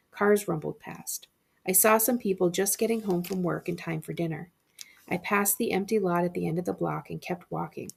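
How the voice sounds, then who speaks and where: A woman narrates a children's horror story aloud as a librarian would, with expression. Her voice sits on the low side and is very creaky, with a husky, breathy grain. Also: darkish tone.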